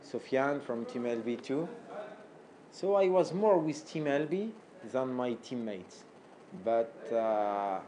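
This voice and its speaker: Man from France, native French speaker, interviewee; hesitant with many fillers, warm dark tone. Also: medium pitch, a little hiss or hum, low-quality recording. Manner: halting, many fillers and restarts. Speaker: fighter being interviewed. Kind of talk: interview answers in second language.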